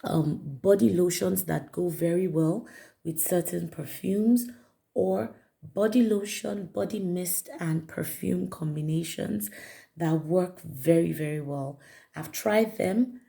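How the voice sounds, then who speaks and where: A woman reviewing perfumes in a chatty vlog, her voice raspy and hoarse from a cold. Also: lowish voice, slow, dark tone. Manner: chatty, repeats herself, many fillers. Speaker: perfume vlogger. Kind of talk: casual product review to camera.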